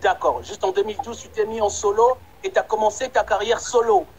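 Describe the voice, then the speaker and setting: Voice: medium pitch, fairly even intonation, fairly quick, darkish tone, slightly rough. Casual interviewer recapping the answers. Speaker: interviewer, host.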